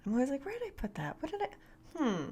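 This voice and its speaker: A woman's voice: sits high, huge pitch swings, very fast, warm tone. A woman co-host chatting informally and playfully, reacting to what she is told. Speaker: show co-host. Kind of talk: casual reactive chat.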